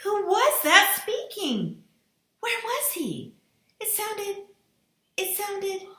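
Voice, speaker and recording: Vera, a shrill frightened young woman, as a woman reader puts her on. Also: wildly swooping pitch, a little slow, pushed and bright, echoey room, exaggerated voice. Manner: high-pitched frightened crying out.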